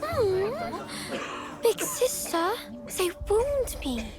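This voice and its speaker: High-pitched, wheedling orphan girl. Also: wildly swooping pitch, extremely slow, full character voice. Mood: plaintive, wheedling. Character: sly orphan girl accused of poisoning, playing the innocent victim. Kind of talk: video game cutscene acting. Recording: game music behind the voice.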